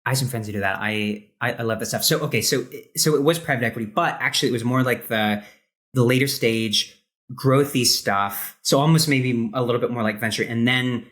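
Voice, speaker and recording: An American man hosting a casual interview, speaking informally and haltingly as he repeats words while thinking aloud. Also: medium pitch, fairly bright tone. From Ohio, United States. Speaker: podcast host, interviewer.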